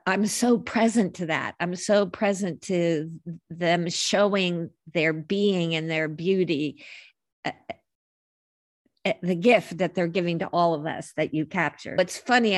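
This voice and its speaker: A lower-voiced older woman talks as a podcast guest, wandering gently from story to story. She often restarts and corrects her sentences as she goes. The recording is dry and close, with no room sound. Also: no room sound. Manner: reflective, meandering, self-correcting. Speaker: podcast guest, life coach. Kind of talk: casual conversational storytelling.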